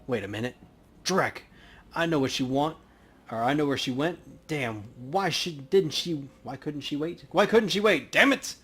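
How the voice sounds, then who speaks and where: A man voicing a tense, impulsive young street gang member in a video game, talking gruffly and urgently. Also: a little high, lively intonation, moderate pace, fairly bright tone. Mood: agitated.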